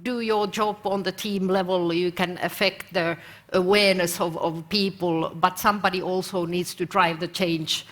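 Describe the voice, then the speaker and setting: A woman's voice: fairly low pitch, steady tone, moderate pace, a bit bright. Confident woman on a corporate panel. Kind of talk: panel discussion.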